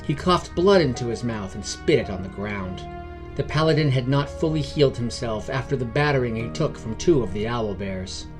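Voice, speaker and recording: A man narrates a scripted fantasy adventure as podcast host. His voice sits at a middle pitch. Quiet music runs behind the storytelling. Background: background music.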